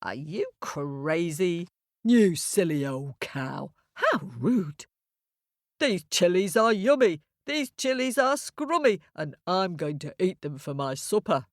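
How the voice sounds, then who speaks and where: A woman's voice: lowish voice, sing-song, slow, no room sound, studio quality. A woman voicing a greedy, rude, stubborn male ram in a children's storybook, scoffing in singsong rhyme. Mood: rude, indignant. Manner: scoffing, singsong rhyming delivery.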